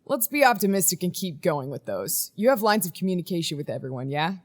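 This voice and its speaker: A woman's voice: fairly low pitch, sing-song, a little fast. A woman playing a weary, commanding research team leader in a naturalistic audio drama, matter-of-fact with some hesitation. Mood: weary, businesslike.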